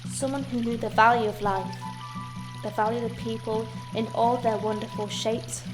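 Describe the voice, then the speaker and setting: A woman plays a composed, reproving guide in an audio drama. Her voice is on the high side, measured and gently teasing, with music behind it. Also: little pitch movement, close to an everyday voice. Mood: earnest. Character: composed, reproving female guide, once a royal.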